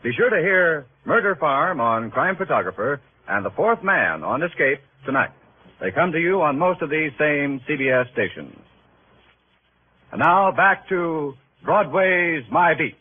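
A man's voice: fairly high pitch, sing-song, measured pace. A man working as a network radio announcer, reading scripted promos in a vintage 1940s style. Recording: tinny old radio broadcast, faint noise.

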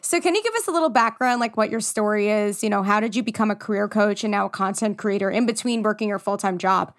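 Enthusiastic woman podcast host. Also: mid-range voice. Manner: enthusiastic, quick-talking. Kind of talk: casual interview chat.

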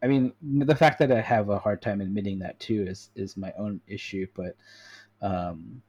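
A man's voice: lowish voice, moderate pace, darkish tone, husky, muffled recording. An American man chatting casually as an interviewer, candid and reflective, feeling his way through his thoughts. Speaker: podcast host, interviewer. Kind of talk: casual chat.

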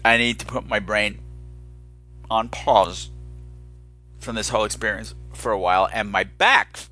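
A man with a bright, forward voice chats as a podcast host over music. His delivery is campy and rambling, with playful drama and a self-deprecating streak. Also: fairly low pitch, steady tone, unhurried. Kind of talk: casual chatty solo podcast monologue.

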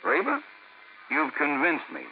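An actor playing a good-natured, stubborn sergeant husband with a folksy, hesitant manner in a 1950s radio skit. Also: male voice, a little high, wildly swooping pitch, a little slow, phone-quality sound. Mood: reluctant, relenting. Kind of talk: 1950s radio safety skit comic acting. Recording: thin, noisy 1950s radio recording.

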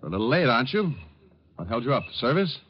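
An American man playing a suave, wisecracking detective in his thirties in a mid-century radio drama, dry and unhurried. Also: mid-range voice, very animated, close to an everyday voice. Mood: probing. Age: 30s. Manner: wry, unhurried, quick-witted. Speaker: lead actor and narrator. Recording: muffled, hissy old radio recording.

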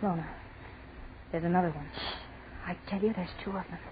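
Actress doing nervous college girl in a 40s radio chiller, halting, kinda low voice, bit of hiss. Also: fairly even intonation, natural voice. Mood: frightened. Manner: hesitant, halting, breathless unease. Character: anxious, frightened young female college student. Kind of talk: 1940s radio horror acting. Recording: noisy, tinny 1940s radio broadcast.

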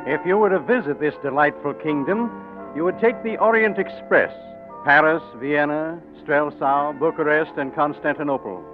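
An actor narrating a romantic adventure as a genial, measured storyteller in 1940s radio drama style. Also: male voice, on the high side, close to an everyday voice. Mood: inviting. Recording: muffled old radio broadcast, music behind.

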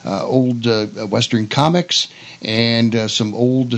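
A man's voice: medium pitch, fairly even intonation, takes it slowly, creaky. A man co-hosting a casual radio chat show in a folksy, jokey way.

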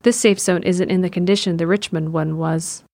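A woman's voice: fairly low pitch, steady tone, normal speed, vocal fry, a little mellow, no room sound. A woman reading scripted narration for an audio drama as its narrator. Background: music.

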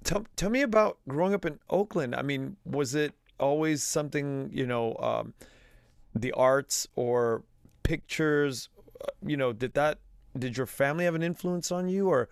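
A man's voice: mid-range voice, moderate pace, creaky, clean tone, no room sound, studio quality. A man hosting a podcast, asking questions with hesitant starts and repeated words. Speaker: podcast host. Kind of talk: interview questions.

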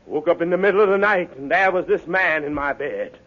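A man acting a high, fussy, nervous radio tenant. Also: brisk, natural voice. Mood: polite, fretful. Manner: proper, fussy diction. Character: prim, nervous, indignant male cottage tenant. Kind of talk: 1950s radio comedy acting. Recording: dull, noisy old radio, studio audience.

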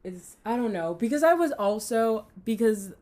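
A young woman co-hosting a podcast, chatting casually and telling animated stories about her family. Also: a little high, moderate pace. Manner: animated storyteller who acts out family dialogue, laughs easily. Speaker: podcast co-host. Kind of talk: casual chat.

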